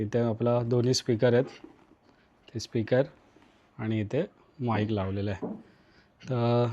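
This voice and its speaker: A man's voice: medium pitch, warm tone, muffled recording. A man with an Indian accent from Maharashtra gives a casual hands-on tutorial, talking to viewers in short, repeated instructional phrases. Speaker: vlogger, tutorial host.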